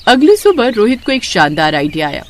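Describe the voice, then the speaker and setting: Expressive woman narrating a story. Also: a little high, fairly quick.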